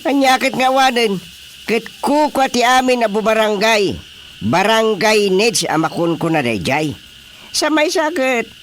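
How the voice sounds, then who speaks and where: Excitable Ilocano village chairman, higher-pitched. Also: slight background noise. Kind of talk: radio comedy drama acting.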